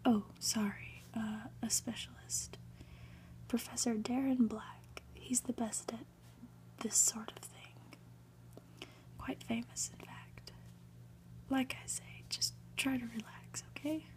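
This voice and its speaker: A woman reads as a bumbling male storybook doctor in a soft whisper. He is halting and apologetic while trying to reassure, his tone mellow. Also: fairly high pitch, fairly even intonation, low-quality recording. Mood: awkward, reassuring. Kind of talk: whispered storybook character reading.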